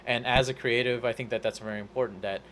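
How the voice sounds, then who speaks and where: A man's voice: mid-range voice, fairly even intonation, fast talker, fairly bright tone, a little hiss or hum. A man hosting a live podcast, rambling through his thoughts with many fillers and restarts. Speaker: podcast host. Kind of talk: casual podcast chat.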